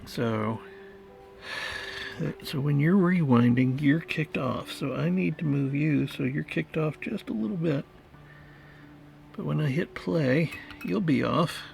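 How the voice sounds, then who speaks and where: Slow, gravelly man muttering at a workbench. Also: fairly high pitch, expressive, a little mellow. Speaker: hobbyist repairer of vintage tape recorders. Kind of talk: casual thinking-aloud workbench chat.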